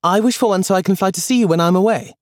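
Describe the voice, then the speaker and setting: A man voicing an excitable, imaginative little boy in a storybook reading. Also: sits high, racing, no room sound, clean studio sound, voice pushed up, natural voice. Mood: eager.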